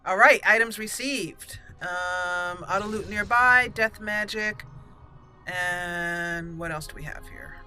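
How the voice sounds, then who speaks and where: A woman's voice: fairly low pitch, slow pace, bright, forceful tone. A woman hosting a gaming channel, giving chatty, exclamatory gameplay commentary and talking to the characters as she plays. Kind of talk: casual gameplay commentary with roleplay. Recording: game music behind.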